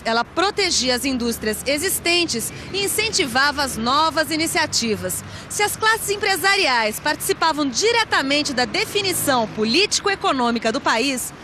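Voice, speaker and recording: A woman's voice: sits high, pushed and bright, slight background noise. A Brazilian woman presenting scripted educational narration.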